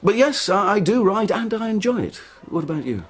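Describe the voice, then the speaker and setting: An actor playing a clever, persuasive and courteous official in a radio drama, with a wry, deliberate manner. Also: male voice, high voice, sing-song. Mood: wry, courting. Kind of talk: intimate radio drama dialogue.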